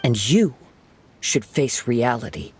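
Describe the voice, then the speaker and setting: A man playing a calm, perceptive young wandering swordsman in a video game, speaking in a measured, earnest and formal way. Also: medium pitch, slight background noise. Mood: earnest reproach. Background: game music.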